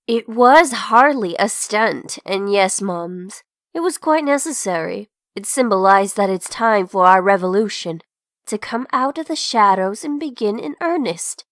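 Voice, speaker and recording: A ruthless, haughty young rebel girl, acted by a woman for a comic dub. She speaks with zeal at a medium pitch, in a dry, close recording. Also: lively intonation, a little slow, no echo. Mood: zealous. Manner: haughty, zealous. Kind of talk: comic dub character acting.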